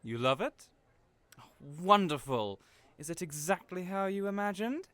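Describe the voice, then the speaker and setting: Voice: medium pitch, expressive, measured pace, fairly bright tone, no echo. An actor in an audio roleplay playing a cheerful, chatty hairdresser who is theatrical, effusive and playfully teasing. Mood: gushing.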